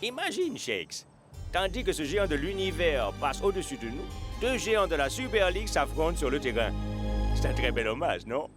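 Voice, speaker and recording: A man's voice: a little high, very animated, normal speed. A man voicing a courteous, grandiloquent and secretive rival football coach in a cartoon. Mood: welcoming, grandiose. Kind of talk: animated cartoon dub acting. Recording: music behind the voice.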